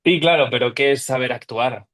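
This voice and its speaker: A young man from Barcelona speaks in a middle-pitched voice. He answers questions at length, thoughtfully, restarting phrases as he works out his point. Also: moderate pace, a bit bright. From Barcelona, Spain. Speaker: actor, interview guest. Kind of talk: interview conversation.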